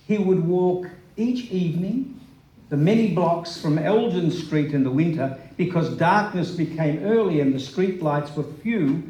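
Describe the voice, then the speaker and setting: A man giving a poignant dramatic reading of a memoir, deliberate and pausing between phrases. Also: on the high side, dark tone. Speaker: stage reader. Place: live literary event in a hall. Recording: echoey live hall.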